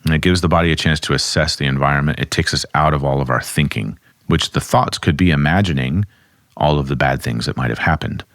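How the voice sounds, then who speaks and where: A man, a somatic therapist, calmly explaining and demonstrating grounding exercises at his desk. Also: a bass voice, a little fast, no room sound, clean studio sound. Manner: calm, instructive, speaks while demonstrating.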